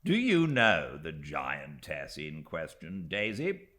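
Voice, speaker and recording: A bold young gentleman from a classic novel , acted by a male narrator. His pitch swings widely as he teases and commands, with an imperious, theatrical flair. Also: wildly swooping pitch, slow pace, exaggerated voice. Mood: amused, commanding. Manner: exclamatory, imperious, teasing. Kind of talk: audiobook character voice.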